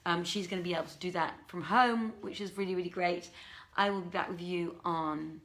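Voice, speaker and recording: A woman with an expressive, courteous manner, working as a live story reader with theatrical, carefully phrased delivery. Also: lowish voice, fairly quick, a little hiss or hum. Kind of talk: theatrical storybook reading and informal livestream talk.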